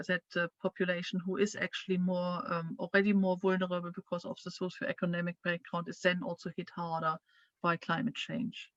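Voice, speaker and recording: Hesitant German-accented woman lecturing, flat delivery. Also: medium pitch, dry recording, lo-fi sound. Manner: hesitant, many fillers and restarts. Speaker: climate training lecturer. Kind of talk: online training presentation.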